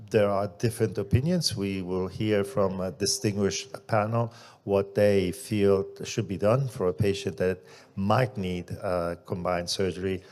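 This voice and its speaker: Lowish voiced guy moderating a surgeon panel, slow and measured, lots of ums. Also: darkish tone. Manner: measured, many fillers, throat clearing.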